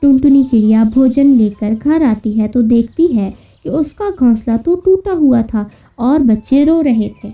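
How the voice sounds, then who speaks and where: A woman's voice: fairly high pitch, measured pace. A woman narrating a children's cartoon story as a scripted storyteller. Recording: muffled, distant-sounding, music behind.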